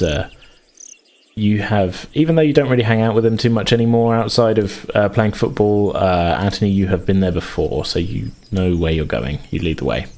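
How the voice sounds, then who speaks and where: Deep-voiced British game master. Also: male voice, a little mellow. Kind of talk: improvised narration of a tabletop game.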